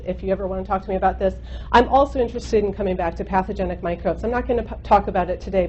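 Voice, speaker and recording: A woman in her thirties with a loud voice, giving a university seminar lecture into a microphone in a lecture room, chatty with frequent ums and uhs. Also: medium pitch. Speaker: guest speaker, microbiologist. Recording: muffled recording with faint hum.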